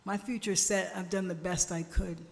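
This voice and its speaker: A woman preaching a sermon at a church service, slow and emphatic, drawing responses from the congregation, her family from Jamaica. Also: on the low side, steady tone, gravelly. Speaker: guest speaker at a church service. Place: church sanctuary. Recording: church hall reverb.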